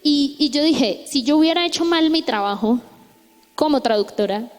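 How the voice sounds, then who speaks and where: A woman's voice: high-pitched, moderate pace. A young Colombian woman with a Colombian accent, preaching a chatty youth sermon with stories, often checking in with tag questions. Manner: chatty, frequent tag questions, lively retelling. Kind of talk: conversational sermon with storytelling. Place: church hall. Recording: echoey church hall.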